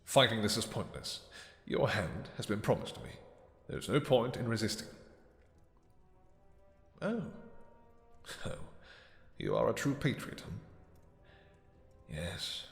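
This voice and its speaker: A man playing an imperious, possessive vampire king in a romantic audio roleplay, speaking in a deep, soft, courtly voice with formal phrasing. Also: sing-song, creaky, soft background music, heavily acted. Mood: stern, possessive. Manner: formal, courtly, commanding, hesitant pauses. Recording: echoey hall with long reverb.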